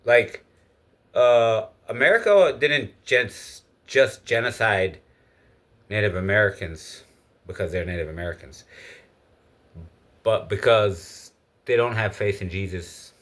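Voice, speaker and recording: A man's voice: lowish voice, animated, slow, pauses often, a bit bright. A man from Egypt giving a casual, opinionated spoken monologue. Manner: opinionated, lecturing. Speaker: speaker giving his views.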